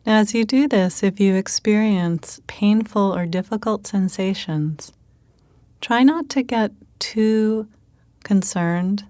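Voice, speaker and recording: Slow, soothing woman guiding meditation. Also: mid-range voice, no room sound. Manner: calm, gentle, unhurried instruction with pauses. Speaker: meditation guide. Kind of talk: guided meditation narration.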